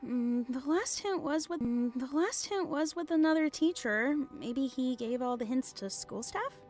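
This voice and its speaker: A woman's voice: high-pitched, animated, measured pace, a little mellow. A woman voicing a thoughtful, observant young female cartoon character in a musing, tentative way, with music behind. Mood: pondering. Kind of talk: animated cartoon voice acting.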